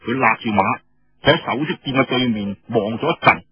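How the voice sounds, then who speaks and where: A man's voice: mid-range voice, brisk. A man telling a story in colloquial Cantonese, in a dramatic audiobook style with conversational asides. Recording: dull, echoey radio-style recording, faint music.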